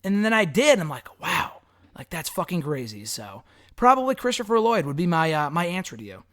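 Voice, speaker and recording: A man with a hoarse, raspy voice hosting a wrestling show, answering fan questions in a rambling, frank and casual way. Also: a little high, sing-song, fairly quick, fairly bright tone. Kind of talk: casual solo commentary.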